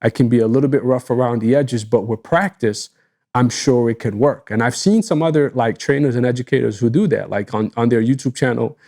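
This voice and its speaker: A man's voice: medium pitch, brisk, vocal fry, warm tone. A man, a native Arabic speaker, co-hosting a casual business podcast, talking in an easygoing, enthusiastic way with casual slang. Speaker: podcast co-host.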